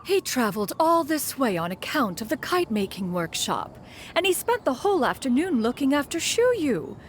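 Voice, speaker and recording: A woman's voice: sits high, big pitch swings, moderate pace, slight background noise. A woman voicing a haughty, dignified immortal mentor in an anime game, speaking in formal, archaic phrases. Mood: proud, puzzled. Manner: formal, archaic, calls herself "one". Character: haughty, dignified female immortal bird adeptus, mentor, exacting.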